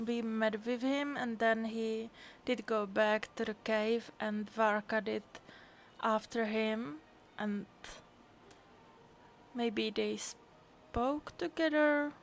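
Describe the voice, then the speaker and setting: A woman streaming a game, drawling and amused as she muses aloud. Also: on the high side. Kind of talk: casual commentary over a game.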